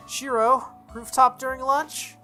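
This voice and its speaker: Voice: high voice, level tone, unhurried, sharp tone, faint music behind, pitched above the performer's own voice. A game player voicing a confident, teasing schoolgirl from a visual novel in a breezy, self-assured way. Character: confident, teasing schoolgirl honor student, spirited.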